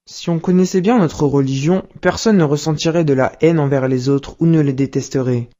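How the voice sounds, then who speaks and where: A woman voicing a patient, wise father who gently explains things to his son in a cartoon, on dull lo-fi audio. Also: low-pitched, brisk, lightly acted. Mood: patient teaching.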